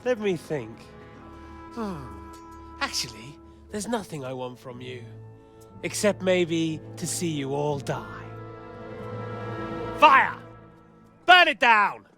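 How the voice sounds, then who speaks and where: Game villain: smug, mocking drawl, fairly high, sharp-edged, very animated, theatrical. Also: male voice, slow pace, pauses often, faint music behind, exaggerated voice. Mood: cold, taunting, then commanding, savage. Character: sneering, cruel medieval villain, smug hostage-taker. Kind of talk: dramatic video game acting.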